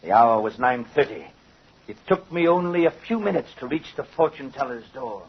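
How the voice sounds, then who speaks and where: An actor playing a vengeful, gloating doll-maker in old-time radio horror, with a theatrical, menacing and mocking manner. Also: male voice, mid-range voice, big pitch swings, normal speed. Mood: confessing. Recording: husky, muffled old radio recording.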